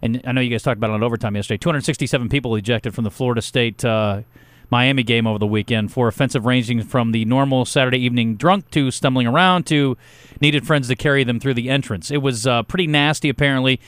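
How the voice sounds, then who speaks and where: Man, radio sports host, loud voice, rapid talker, dry studio sound. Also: medium pitch, clear, resonant tone, no echo. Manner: fast, opinionated and joking. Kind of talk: casual sports radio banter.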